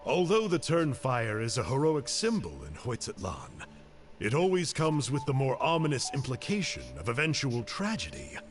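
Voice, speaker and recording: A man voicing a calm, bookish young hunter in a video game, reading old records aloud in a measured way. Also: mid-range voice, close to an everyday voice. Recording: game audio with clear music behind.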